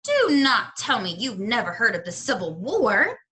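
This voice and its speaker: Lady doing a fussy genteel old librarian woman from a kids book, swoopy and high, theatrical. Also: wildly swooping pitch, a little slow, sharp tone, full character voice. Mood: exclaiming, shocked. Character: elderly female librarian, genteel, excitable, fond of storytelling.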